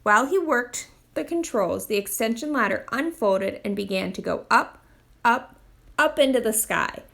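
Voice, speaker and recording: A woman reading a children's picture book aloud in an expressive storytime style. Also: on the high side, moderate pace, vocal fry. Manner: expressive read-aloud delivery. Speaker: storytime reader, literacy program manager.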